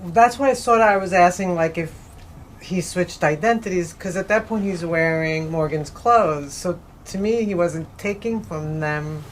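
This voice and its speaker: Lowish-voiced woman asking from the audience. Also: moderate pace, rough recording. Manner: informal, explanatory, self-correcting. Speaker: audience member asking a question. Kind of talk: casual spoken question. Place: live event in a bookstore. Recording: faint room noise.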